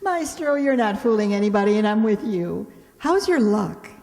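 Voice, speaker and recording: A woman's voice: medium pitch, sing-song, normal speed, creaky, darkish tone, long reverb tail. A woman playing a sensible, wry young woman who patiently explains things in an old-time radio comedy. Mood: dry, sensible.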